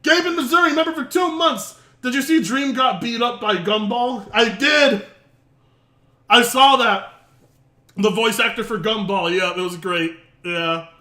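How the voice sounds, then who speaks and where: A male streamer who talks casually in a rambling, hesitant way and swears and laughs a lot. His voice is high and bright, with lively swings in pitch. The room sounds echoey. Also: a little slow. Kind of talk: casual live-stream reaction.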